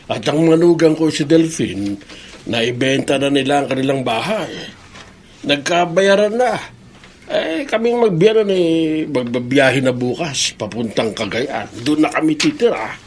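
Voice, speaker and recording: An actor playing a kindly, concerned old grandfather in a Filipino radio drama, speaking in hesitant, drawn-out folksy phrases. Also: fairly high pitch, lively intonation, a little hiss or hum. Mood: wistful. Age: older.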